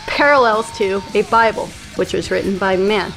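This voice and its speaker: A woman speaking as a guest in a casual chat, with a calm, even, monotone way of talking. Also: mid-range voice, moderate pace, faint music behind, poor audio. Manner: calm, self-described monotone delivery.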